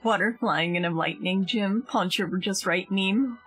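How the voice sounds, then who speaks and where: A woman chatting casually with a friend while gaming, her voice husky. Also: mid-range voice, normal speed, a bit bright, soft background music.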